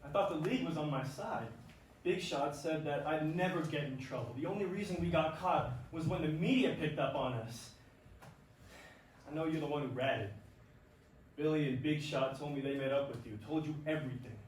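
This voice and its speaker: A man's voice: a little high, moderate pace, close to an everyday voice. A man in a staged play reading playing a disgraced, remorseful and jealous baseball star, confessing in a defeated manner. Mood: desperate. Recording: very echoey stage, distant mic, faint noise.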